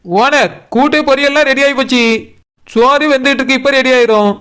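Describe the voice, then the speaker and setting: A woman acts out an overworked young cartoon cook with a high, bright voice. She whines and pleads at a rapid pace, with a colloquial Kanyakumari Tamil accent. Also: smooth. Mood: explaining, defensive. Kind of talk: comic cartoon dialogue.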